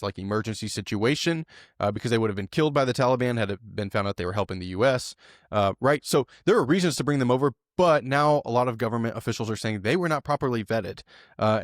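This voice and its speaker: A man's voice: mid-range voice, no room sound, clean studio sound. A man co-hosting a podcast, talking through the news casually with lots of fillers and tag questions. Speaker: podcast co-host.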